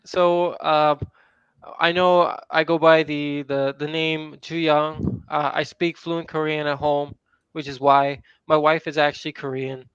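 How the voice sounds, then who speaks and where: A fairly high, smooth male voice in flat, even talk, pausing and restarting often as he speaks. The sound is dry and a little dull. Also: no echo. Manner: hesitant, many fillers and restarts. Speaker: guest, game developer. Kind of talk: casual chat.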